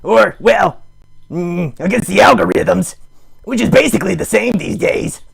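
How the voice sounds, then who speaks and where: A man voicing a needy, melodramatic puppet streamer, theatrical, sarcastic and mock-grand, with a gravelly, husky voice, improvising a comic livestream. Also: sits high, lively intonation, measured pace, full character voice. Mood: excited, mock-grand. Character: melodramatic, needy puppet live streamer with big wry bravado. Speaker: host of a live puppet show stream.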